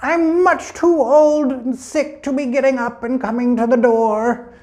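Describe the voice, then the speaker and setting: A man's voice: very high voice, steady tone, unhurried, darkish tone, pitched above the performer's own voice. A man voicing a wolf who poses as a frail, sweet old grandmother in a storybook, with sugary, feeble delivery. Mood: falsely sweet.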